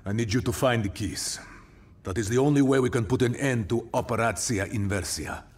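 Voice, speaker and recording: A man's voice: mid-range voice, brisk, darkish tone, breathy edge. A man acting a hardened, wry covert operative in a video game cutscene, terse and formal in a commanding way.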